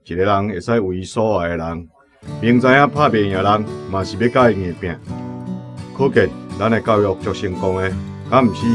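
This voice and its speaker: A man's voice: on the low side, moderate pace, over music, close to an everyday voice. A man with a Taiwanese accent playing a pompous, lecturing senior army officer in a radio drama.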